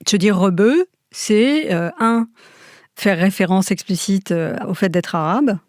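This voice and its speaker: A middle-aged woman professor explaining at length, pausing and correcting herself as she thinks. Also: mid-range voice, a little mellow, no echo, clean studio sound. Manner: thoughtful, frequent hesitations and self-corrections. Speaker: university professor. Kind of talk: interview answer, lecture-like explanation.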